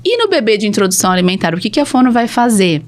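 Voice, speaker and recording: A woman's voice: mid-range voice, big pitch swings, very fast. Brazilian female health professional as podcast guest, mid-range voice, instructive conversational explainer. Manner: didactic, poses questions then answers them. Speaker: speech therapist, podcast guest.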